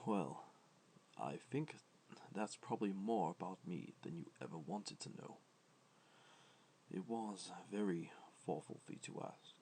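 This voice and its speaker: A man acts out an ancient, kindly god in a comfort roleplay. The voice is very low and gravelly, kept soft and tender, with modest, careful phrasing. A faint wash of noise sits behind it. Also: exaggerated voice. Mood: tender, reassuring. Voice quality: low, soft, creaky, breathy at times.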